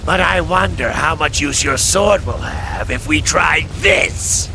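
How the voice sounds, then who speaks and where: A sneering mercenary from a video game. The voice is fairly high and extremely gravelly, taking its time over each taunt. Faint ambience runs behind it. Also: male voice, noisy background. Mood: smug menace. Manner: smug, taunting, deliberate. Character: sneering, unscrupulous mercenary villain. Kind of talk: dramatic video game acting.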